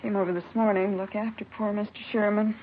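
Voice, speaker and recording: An American actress playing a kindly, talkative next-door neighbor in a 1950s radio crime drama. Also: female voice, medium pitch, little pitch movement, fast talker, close to an everyday voice. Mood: chatty, concerned. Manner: homely, talkative, sympathetic. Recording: muffled, noisy vintage radio broadcast.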